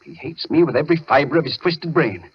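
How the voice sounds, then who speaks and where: An actor playing a grim, resigned captive doctor, speaking earnestly and articulately in an old radio thriller. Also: male voice, a little high, fast, lightly acted. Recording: muffled noisy old radio recording.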